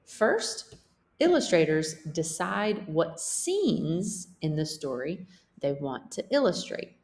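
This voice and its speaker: A woman's voice: low voice, big pitch swings, slow, darkish tone. A woman teacher reading a picture book aloud as a lesson for children, explaining things simply and checking in with questions.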